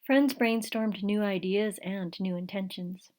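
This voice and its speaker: A woman reading her own children's picture book aloud in an expressive, dramatic storytelling style. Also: mid-range voice, a little slow. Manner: rhyming, dramatic read-aloud.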